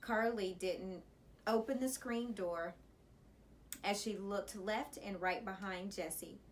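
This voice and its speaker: Female storyteller for children's chapter book, expressive teacherly read, mid pitch, slight background noise. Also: slow pace. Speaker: elementary school teacher reading aloud.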